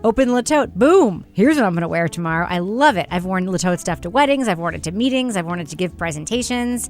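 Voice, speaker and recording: A woman's voice: lowish voice, expressive, quick pace. A woman co-hosting a podcast, chatting casually and giving her opinions in an emphatic, blunt way. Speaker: podcast co-host. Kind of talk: casual opinionated review chat.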